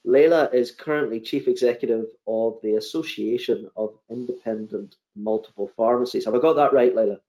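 Mellow, chatty male podcast host. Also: mid-range voice, brisk, few pauses, poor audio. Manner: chatty, rambling, repeats words. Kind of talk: casual interview.

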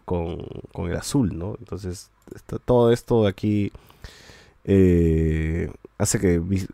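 A man's voice: on the low side, a little mellow, dry recording. Man chatting, dry close sound. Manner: rambling, restarts often, tags sentences with a questioning "right?". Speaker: podcast host reviewing shows. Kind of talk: casual review chat.

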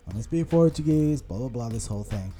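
A man's voice: medium pitch, fairly quick, over music. A man in his thirties with an American accent, telling stories as a podcast co-host in casual chat, with many restarts. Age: 30s. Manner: rambling, animated storytelling with many restarts.

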